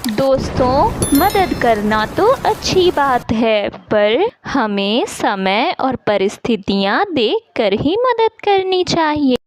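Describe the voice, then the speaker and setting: A woman's voice: high-pitched, very animated, slow pace. A woman narrating scripted animal stories for children as a storyteller.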